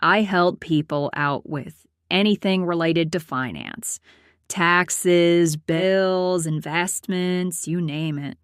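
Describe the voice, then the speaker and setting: A woman playing a prim, aloof accountant in her late twenties who narrates her own story in a deadpan, formal way. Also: on the low side, slow, clear, resonant tone, no room sound, studio quality. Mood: matter-of-fact. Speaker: host and narrator of a character story series. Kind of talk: scripted first-person storytelling.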